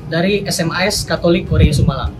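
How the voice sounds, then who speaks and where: A man's voice: a little high, fast. A young man from Papua, an incoming university student, answering interview questions politely and formally. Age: young adult. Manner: polite, formal, soft-spoken. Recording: loud music bed under voice.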